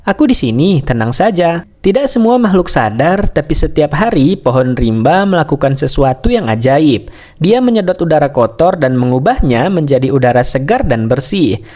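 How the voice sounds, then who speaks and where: A man's voice: on the high side, very animated, moderate pace, warm tone. A man narrating a scripted bedtime story for children.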